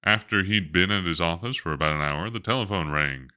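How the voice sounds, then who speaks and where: A man reading a bedtime story aloud in an animated, expressive storybook style. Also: low voice, fairly quick, clear, resonant tone.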